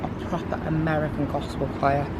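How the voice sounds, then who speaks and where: A hoarse, chesty woman chatting casually on a travel vlog, outdoors on a city street. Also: low voice, flat delivery, a little fast. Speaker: travel vlogger. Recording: loud street traffic noise.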